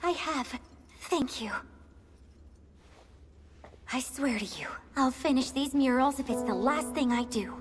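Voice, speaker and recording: A woman's voice: high-pitched, measured pace, pauses often. A woman voicing a devoted, earnest retainer in a video game cutscene, respectful in manner. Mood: determined, wistful. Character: devoted earnest female mural maker, loyal to her lady.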